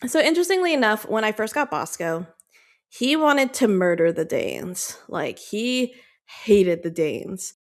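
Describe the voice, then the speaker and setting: A woman's voice: mid-range voice, very animated, a little slow. Chatty woman with lots of "like"s. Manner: rambling, filler-heavy, affectionate. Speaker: podcast guest, dog owner. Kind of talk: casual chat.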